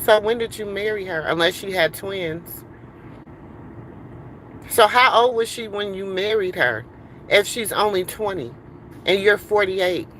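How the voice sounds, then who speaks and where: A woman's voice: medium pitch, expressive, measured pace, pauses often, fairly bright tone, a little hiss or hum, low-quality recording. A middle-aged woman ranting on a casual livestream from a car, heated and rambling with many fillers. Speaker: livestream host.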